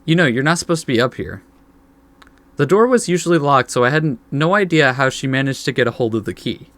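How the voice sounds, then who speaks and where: A man's voice: medium pitch, lively intonation, quick pace, fairly bright tone, no echo. A man with a mid-range voice reads a visual novel aloud as a let's play host. His delivery is informal, slipping between narration and offhand commentary and correcting his stumbles. The sound is dry, with little room on it. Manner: informal, stumbles over the text and corrects himself.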